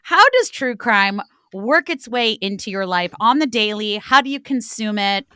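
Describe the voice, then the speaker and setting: A woman's voice: on the high side, expressive, moderate pace, bright, forceful tone, smooth, no room sound, studio quality. A woman co-hosting a podcast, chatting in a playful, teasing way. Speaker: podcast co-host. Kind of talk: casual chat.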